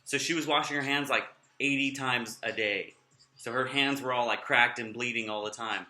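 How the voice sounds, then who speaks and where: A man's voice: medium pitch, moderate pace, sharp tone, reverberant, soft background music. A young man vlogging in a casual, rambling way, sounding nasal and slurring his words a little. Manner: rambling, off-topic, chatty.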